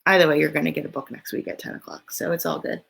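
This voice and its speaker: A woman hosting a children's story time, reading aloud and chatting informally, often thinking out loud as she goes. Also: low voice, fairly even intonation, fast, gravelly, husky. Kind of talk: reading a picture book aloud, then casual chat to children.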